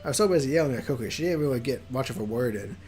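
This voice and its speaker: A streamer reading a guilt-ridden, anxious young protagonist in a low, subdued, hesitant voice. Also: lively intonation, rapid-fire, a little mellow, lightly acted. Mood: remorseful.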